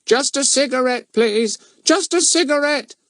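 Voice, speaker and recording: A man's voice: very high voice, a little slow, fairly bright tone, no room sound, studio quality, voice pushed up, heavily acted. A man voicing a quavering, pleading ventriloquist's voice in a children's story.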